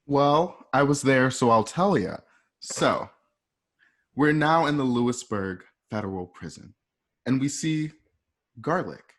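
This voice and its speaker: A man's voice: mid-range voice, animated, slow pace, long pauses, fairly bright tone. A man narrating script scenes informally, in a chatty storytelling manner, as if walking listeners through each shot. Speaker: narrator reading stage directions. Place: at home.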